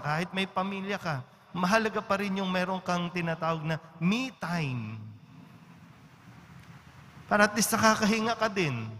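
A Filipino priest delivers a conversational homily in a large church, his fairly high voice trailing off into a long reverb. A faint background hiss sits under his words, and he keeps drawing the congregation in with little tag questions. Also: fairly bright tone. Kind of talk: Sunday-style homily.

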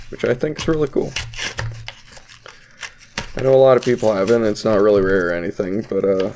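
A man's voice: lowish voice, dark tone, slight background noise. A young man with a stuffy voice from a cold, chatting casually and rambling as he opens trading card packs at his desk. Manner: rambling, filler-heavy, laid-back. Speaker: YouTube card-opening vlogger. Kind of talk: casual unboxing chat to viewers. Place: home desk, indoors.

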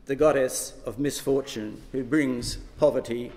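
A man's voice: medium pitch, steady tone, unhurried, mellow. An older man giving an academic lecture, projecting his voice to a room without a microphone. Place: lecture hall, indoors. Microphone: far camera, no microphone. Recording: echoey hall from far off.

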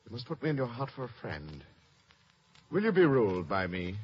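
A man's voice: medium pitch, very animated, a little fast, breathy edge. An actor playing a scheming, persuasive, treacherous king in 1950s radio Shakespeare. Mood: soothing, persuasive. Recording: dull old radio recording.

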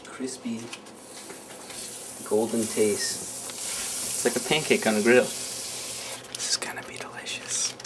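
A man's voice: mid-range voice, flat delivery, slow pace, pauses often, whispered. A young man hosting a homemade cooking show in a small kitchen at night, rambling offhand with many fillers. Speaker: home cooking show host. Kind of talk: casual home cooking demo. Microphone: handheld camera mic, close. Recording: noisy home camera audio.

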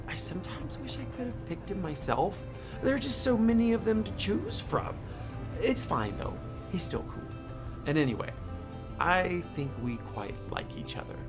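A man reading aloud as a gentle young male dog who tells about his boy, in a soft, calm storybook voice. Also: fairly high pitch. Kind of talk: children's book read-aloud.

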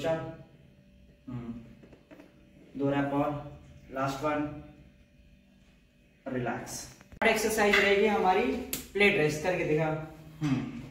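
Casting: Indian man, 20s, high-pitched, encouraging gym trainer giving short repeated cues, recorded far from the mic in a very echoey room. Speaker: fitness trainer and presenter. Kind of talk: instructional workout demonstration with coaching cues. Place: indoor gym. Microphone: camera a few metres away. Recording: echoey room, distant mic, faint noise.

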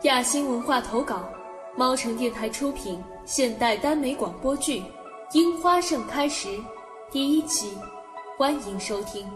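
A woman's voice: high-pitched, unhurried, music in the background. A woman reading a scripted title announcement for a radio drama.

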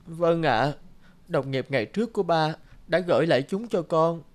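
A man's voice: a little high, moderate pace, natural voice. A man voicing an earnest, guilt-ridden young researcher and devoted son in a cartoon. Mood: subdued. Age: young adult. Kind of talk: cartoon voice acting. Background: music.

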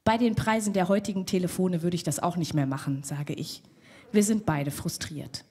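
A woman's voice: lowish voice, moderate pace, darkish tone, breathy edge. A young woman with a cold telling a personal story as spoken word on a theater stage at a stand mic, frank and wry. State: has a cold. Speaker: poet.

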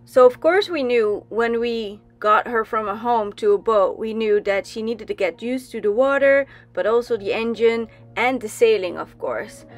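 A woman's voice: a little high, moderate pace, hardly any pauses, faint music behind. A young woman from the Netherlands giving casual voice-over narration for a sailing vlog, chatty, with frequent ums and restarts. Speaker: sailing vlogger.

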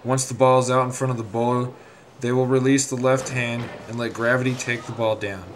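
A man reading a scripted instructional narration in a measured, formal, step-by-step way. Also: medium pitch, little pitch movement, background noise.

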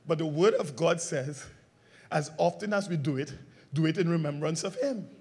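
A man preaching a sermon as pastor, asking the congregation call-and-response questions and swinging between loud emphatic lines and quiet low ones. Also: animated, normal speed, husky.

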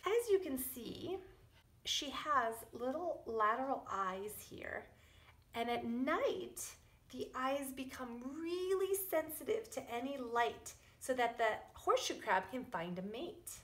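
A middle-aged woman, a preschool teacher, explaining and reading aloud to young children in a deliberate, emphatic, teacherly way. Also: high-pitched, expressive, fairly bright tone. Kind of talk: children's science lesson and read-aloud story. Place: indoors in a wood-panelled room at home or school. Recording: echoey room.

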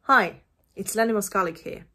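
A woman's voice: medium pitch, moderate pace, bright, forceful tone. A woman giving a casual video greeting in a room. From Ukraine. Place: indoor room by a window.

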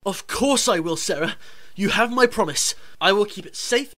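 A woman's voice: mid-range voice, lively intonation, normal speed, fairly bright tone, slight background noise, natural voice. A woman playing a chirpy, earnest young lad, the hero of a radio pantomime, in a hearty theatrical manner. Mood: rousing. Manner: hearty, addressing children theatrically.

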